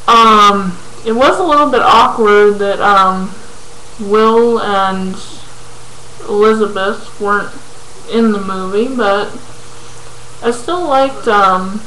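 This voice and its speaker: Slow, hesitant young woman in an echoey room. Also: on the high side, a bit bright, a little hiss or hum. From California. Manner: hesitant, many ums, halting when reading aloud. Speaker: vlogger. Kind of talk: casual chat answering a questionnaire. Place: small bedroom indoors. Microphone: webcam at close range.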